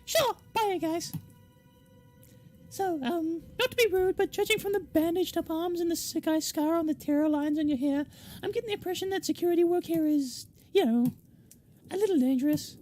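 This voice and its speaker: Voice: extremely high pitch, normal speed, darkish tone, soft background music, voice pushed up. A game player voicing a chirpy, morbidly whimsical young woman who rambles politely. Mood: curious, friendly.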